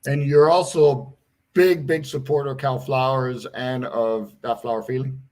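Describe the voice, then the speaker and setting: A man's voice: medium pitch, normal speed. A man hosting a livestream talk show, eagerly urging viewers to follow and ask questions. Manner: energetic, repetitive calls to engage. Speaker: show host.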